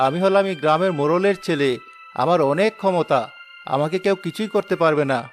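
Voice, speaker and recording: Cocky, high-voiced young village idler, a man acting. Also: a little fast, faint music behind, lightly acted. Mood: arrogant. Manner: boastful, pestering. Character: cocky idle young son of village chief. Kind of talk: Bengali cartoon voice acting.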